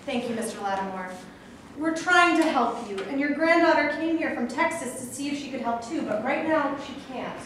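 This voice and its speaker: Echoey, distant, woman acting formal hospital doctor, measured and firm, high voice, staged reading. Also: expressive, a bit bright. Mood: clinical, firm. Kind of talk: amateur staged reading of a play. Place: live staged reading in a reverberant room. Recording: very echoey room, distant mic, faint noise.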